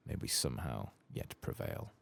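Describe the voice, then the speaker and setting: A man soft-spokenly reading a grave, sorrowful elven priestess from a fantasy game, in formal, measured phrases. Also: a little hiss or hum, natural voice.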